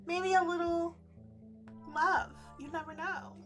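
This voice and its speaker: A woman's voice: very high-pitched, steady tone, takes it slowly, a bit bright, soft background music. A woman reading a visual novel aloud, with playful, effusive, casual reactions. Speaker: streamer playing a visual novel.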